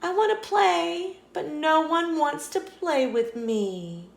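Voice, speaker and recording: A woman's voice: high-pitched, animated, slow pace, gravelly, echoey room, voice pushed up, heavily acted. A woman voicing a small, lonely but hopeful storybook squirrel in a childlike, plaintive way.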